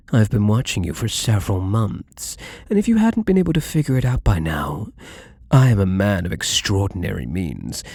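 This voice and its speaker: A man's voice: on the low side, darkish tone, slightly rough, dry recording, studio quality, full character voice. A man playing a wealthy, obsessively devoted and controlling gambler in an intimate ASMR roleplay, with doting, menacingly tender delivery and formal wording. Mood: adoring, confessional.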